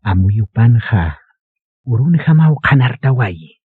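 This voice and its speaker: Male radio drama narrator, Bolivian accent. Measured, solemn delivery, husky and unhurried, muffled band-limited recording. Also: medium pitch, big pitch swings, no room sound.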